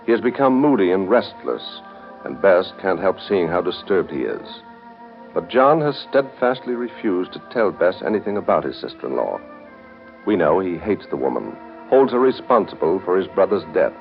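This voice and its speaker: Low, animated American radio announcer, man. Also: normal speed, gravelly. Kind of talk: 1930s radio serial announcing, scripted narration. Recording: dull, band-limited 1930s radio broadcast. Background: music.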